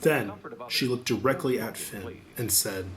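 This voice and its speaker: A man's voice: fairly low pitch, unhurried, a crowd in the background. A man reading scripted audiobook narration as the narrator.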